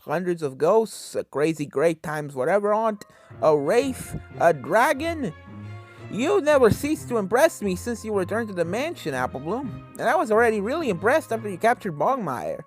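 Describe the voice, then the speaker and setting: Story reader as caring mentor character in a cartoon tale, earnest, mid pitch, game music behind. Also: sing-song, normal speed, lightly acted. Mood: impressed. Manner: earnest, encouraging.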